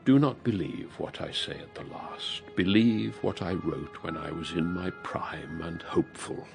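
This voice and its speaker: An actor playing a tired, pessimistic elderly English writer in a radio drama, husky and measured, with a wry, courteous, literary manner. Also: male voice, sits low, over music. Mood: gentle melancholy. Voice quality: husky, gravelly. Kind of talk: radio drama dialogue and reflective monologue.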